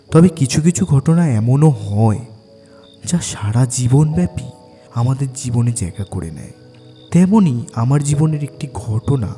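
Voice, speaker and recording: A man narrating a scripted first-person horror story in a suspenseful, dramatic way. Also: mid-range voice. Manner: suspenseful, expressive storytelling delivery. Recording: long reverb, faint music bed.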